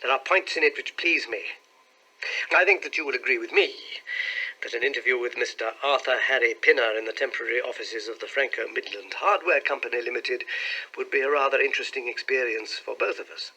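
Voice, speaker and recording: A man voicing a keen, amused gentleman detective with an urbane, measured, commanding manner in an audiobook. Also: medium pitch, husky, heavily acted, low-quality recording.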